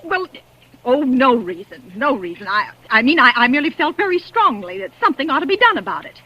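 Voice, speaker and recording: A woman's voice: sits high, very animated, a little fast, highs cut off. An actress playing a nervous, demanding wealthy invalid woman in a 1940s radio drama, stammering, fretful and imperious. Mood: frantic.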